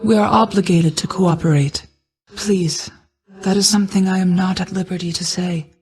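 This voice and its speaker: An actress playing a stern yet caring motherly goddess of night in a video game, speaking in formal, measured, solemn lines. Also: on the low side, steady tone, darkish tone, slightly rough. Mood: solemn, firm. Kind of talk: scripted video game character dialogue, formal archaic speech.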